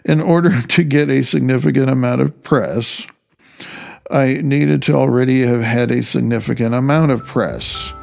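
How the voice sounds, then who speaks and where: A man's voice: medium pitch, fairly even intonation, normal speed, vocal fry, darkish tone. A man reading his own nonfiction audiobook in a wry, conversational way. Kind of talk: audiobook narration of personal essay. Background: music.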